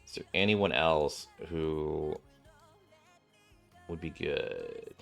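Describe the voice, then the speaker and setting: A man's voice: very deep voice, animated, drawn-out words, creaky. A man doing casual game commentary, reading dialogue aloud and stumbling over written words as he reacts. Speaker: game streamer. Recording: background game music. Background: game music.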